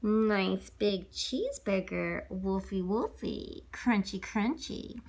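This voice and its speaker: A woman's voice: mid-range voice, lively intonation, slow, vocal fry, darkish tone, exaggerated voice. A woman voicing a chatty, self-excusing male big bad wolf who tells a children's storybook in character, full of rambling asides and sly mock innocence. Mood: sly, self-justifying. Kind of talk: animated read-aloud children's storybook, told in character.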